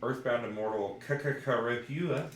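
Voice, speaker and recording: A man's voice: medium pitch, measured pace. A man chatting casually as a podcast co-host and reading out trading cards as he goes. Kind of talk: casual chat while opening trading card packs. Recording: echoey room, faint background noise.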